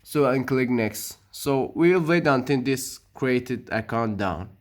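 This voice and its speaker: A man whose first language is Persian, narrating a computer setup walkthrough with frequent pauses, restarts and loose non-native phrasing. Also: mid-range voice, lively intonation. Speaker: tech tutorial maker. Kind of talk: screen-recorded walkthrough narration.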